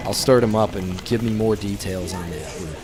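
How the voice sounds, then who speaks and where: An actor playing a young, shrewd, suave speakeasy proprietor with period slang in a 1920s radio drama. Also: male voice, fairly low pitch, normal speed, loud music behind the voice, natural voice. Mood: shrewd, businesslike. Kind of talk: 1920s old-time radio drama acting. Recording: busy bar crowd behind.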